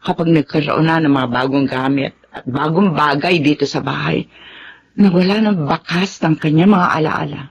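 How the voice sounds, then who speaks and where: An actress playing a practical, affectionate homeowner in a Tagalog radio drama, with a Filipino accent and a conversational, gently bossy, teasing manner. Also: female voice, deep voice, normal speed, muffled recording. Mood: wistful. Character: Remedios, affectionate, practical homeowner woman in a romance.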